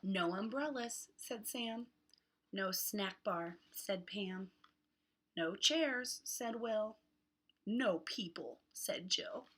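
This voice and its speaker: A woman's voice: medium pitch, very slow, slight background noise. A woman reading a picture book aloud for young children, with exaggerated, dramatic emphasis on words. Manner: exaggerated, animated delivery with dramatic word emphasis. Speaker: children's read-aloud host.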